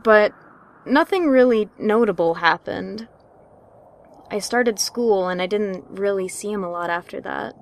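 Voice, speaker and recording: A woman narrates a personal scary story as a dramatic reading. Her voice sits at a middle pitch for a woman. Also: measured pace.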